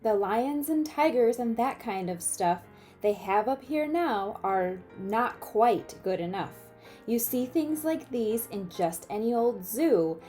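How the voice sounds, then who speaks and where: Female storybook reader, slightly high and mellow, playful delivery of rhyming verse, faint music. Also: animated, slow. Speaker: teacher reading a picture book aloud.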